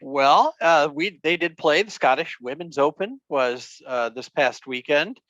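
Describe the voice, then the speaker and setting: A man co-hosting a casual golf podcast, going over the news with a lot of fillers and pauses. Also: a little high, animated, fairly bright tone, no echo, lo-fi sound. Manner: hesitant, many fillers. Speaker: podcast co-host. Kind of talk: casual sports chat.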